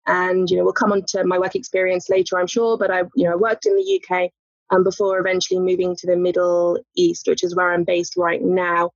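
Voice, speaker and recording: A woman's voice: on the low side, little pitch movement, brisk, no room sound. A woman, a lawyer talking as a podcast guest in a chatty way, with light laughs and many "you know" fillers. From England. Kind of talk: casual interview conversation. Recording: muffled, dry podcast audio.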